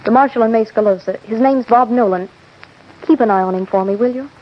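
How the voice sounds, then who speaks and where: A woman's voice: on the high side, fairly quick, close to an everyday voice. A woman playing a determined young frontier schoolteacher in a radio western, speaking in a firm, direct, poised way. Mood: guarded, determined. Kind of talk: old-time radio western acting. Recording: muffled, hissy old radio broadcast.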